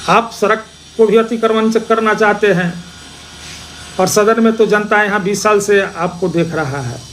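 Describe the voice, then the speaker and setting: Male political orator, high voice, accusatory and repetitive for emphasis, some background ambience noise. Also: a little slow, fairly bright tone. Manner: repeats phrases for emphasis; accusatory, rhetorical questioning. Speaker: opposition party politician. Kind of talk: political speech.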